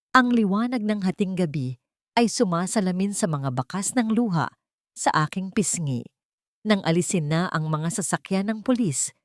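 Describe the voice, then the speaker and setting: A woman's voice: on the low side, no echo, clean studio sound. A woman with a Filipino accent reading a scripted dramatic story in the first person as a narrator.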